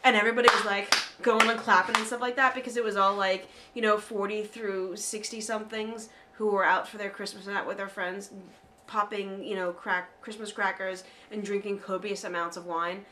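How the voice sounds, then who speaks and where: A woman's voice: mid-range voice, steady tone, sharp tone, a little hiss or hum. A young woman from outside Philadelphia with a Philly accent mixed with Derry, chatting casually on a podcast with lots of fillers. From Delaware County, Pennsylvania. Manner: chatty, quick asides, hedging with fillers. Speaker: podcast co-host. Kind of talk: casual chat.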